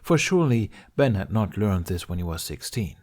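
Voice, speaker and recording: Low-voiced man narrating a story. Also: very animated, moderate pace, a little mellow. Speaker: audiobook narrator of fan fiction.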